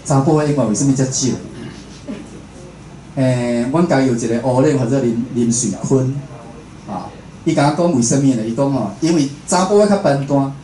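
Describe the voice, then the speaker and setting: A man's voice: medium pitch, normal speed, mellow. A man with a Taiwanese accent giving an informal, chatty public talk, often closing his phrases with a small confirming tag. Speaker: radio host and programme producer giving a talk. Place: indoor talk venue with a seated audience. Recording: roomy echo, faint background noise.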